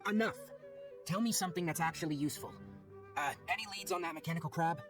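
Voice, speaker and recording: Guy voicing young ranger type in a video game, higher pitched, brisk and no nonsense, faint music under. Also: a bit bright, close to an everyday voice. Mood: impatient. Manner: matter-of-fact, curt. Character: brisk, businesslike young male forest watcher. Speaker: voice actor in game dialogue. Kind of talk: scripted video game voice acting. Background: game background music.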